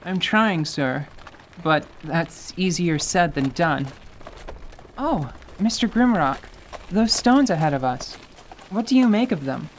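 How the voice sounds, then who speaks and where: A man's voice: on the high side, animated, slow, slight background noise. A man voicing a polite, nervous young wagon driver in a fantasy audio drama, hesitant and deferential. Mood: uneasy.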